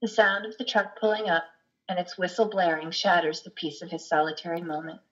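A woman's voice: fairly low pitch, level tone, brisk. A middle-aged woman reading stage directions as a scripted narration. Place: room at home. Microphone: video call, computer microphone. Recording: dull video call audio.

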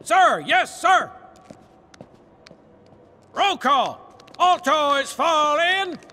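A man playing a dutiful, barking little toy army sergeant in an animated video game. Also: very high-pitched, extremely slow, big-room sound, full character voice.

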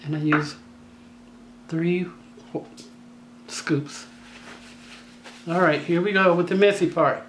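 A woman with a slightly raspy voice hosting a home cooking tutorial, talking in a chatty, down-to-earth way with joking asides. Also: lowish voice, steady tone, takes it slowly, pauses often, a little hiss or hum. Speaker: cooking channel host. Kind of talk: casual cooking tutorial voice-over. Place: home kitchen.

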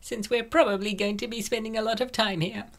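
A woman's voice: on the high side, fairly even intonation, brisk, natural voice. A woman playing a poised, well-off young woman in a comic dub, speaking in a natural voice. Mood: relaxed, indulgent. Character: rich, poised, unsuspecting young woman friend.

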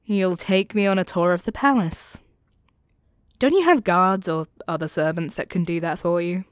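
A woman playing a cocky young commoner thief in an expressive, sardonic audio roleplay, speaking straight to the listener. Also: lowish voice, moderate pace, clean tone, no echo, studio quality, close to an everyday voice. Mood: teasing, sardonic. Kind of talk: audio roleplay acting, one-sided dialogue addressed to the listener.

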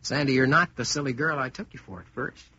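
An actor playing a wisecracking modern Yankee with an American accent, in old-time radio style. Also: male voice, mid-range voice, fairly quick, bright, forceful tone, husky, no echo. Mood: wry. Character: wisecracking, brash modern Yankee man stranded among knights. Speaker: radio actor, lead and narrator. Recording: dull old radio recording, music behind.

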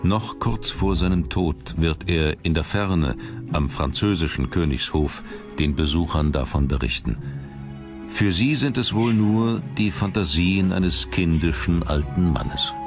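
A man narrating a documentary from a script in a measured, dramatic storytelling manner. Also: low-pitched, animated. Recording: music under the narration. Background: background music.

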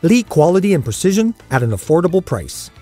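A man's voice: fairly high pitch, big pitch swings, fairly quick. A man reading a polished promotional voice-over for a woodworking jig. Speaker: commercial announcer. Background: faint music.

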